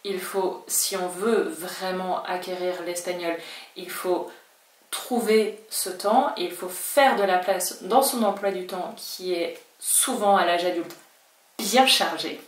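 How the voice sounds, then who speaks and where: Woman, native French speaker, Spanish teacher; friendly explainer, mid pitch, a bit off-mic in an echoey room. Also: slow, far from the mic. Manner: chatty, reassuring, acts out learners' worries in quotes. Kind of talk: talking-head language lesson.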